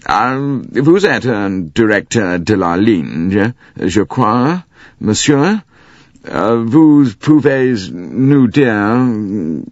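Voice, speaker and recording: A man voicing a stiff British officer speaking careful, halting French. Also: medium pitch, animated, sharp tone, dry recording, muffled recording, pitched above the performer's own voice, full character voice.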